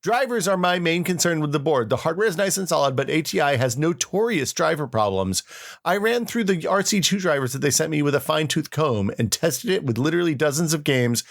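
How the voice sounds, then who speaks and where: A smug young tech reviewer, read out by a man, in a raised, chipper pitch. He lectures with self-important certainty, as in a dramatic reading of an old online post. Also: expressive, brisk, gravelly. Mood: lecturing.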